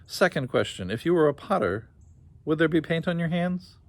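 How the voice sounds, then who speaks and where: Feisty shopkeeper's wife, voiced by a man, lifted pitch, shrewd, exclamatory, storybook tale. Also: animated, moderate pace. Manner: exclamatory, sharp-witted questioning.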